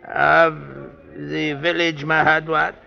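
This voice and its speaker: Very creaky, slow temple beggar. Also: male voice, a little high, flat delivery, pushed and bright, heavily acted. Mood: maddeningly methodical. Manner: deliberate, questioning, spun-out phrases. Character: slow, repetitive, exasperatingly thorough Indian temple beggar. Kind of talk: old-time radio drama acting. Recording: dull vintage radio recording.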